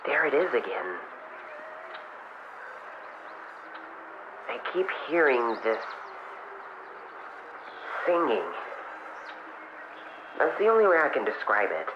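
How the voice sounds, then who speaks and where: A man's voice: medium pitch, big pitch swings, a little slow, long pauses. Muffled radio log, noisy backdrop, man playing young male fox adventurer, earnest, hesitant, trailing off, audio drama narration. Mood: uneasy, puzzled. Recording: muffled lo-fi radio sound, clear background noise.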